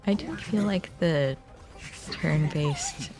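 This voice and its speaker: Casting: livestream gamer, mid-pitched voice of ambiguous gender, unhurried, casual self-talk in short bursts over game music. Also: darkish tone. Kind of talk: casual gameplay commentary. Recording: music behind the voice.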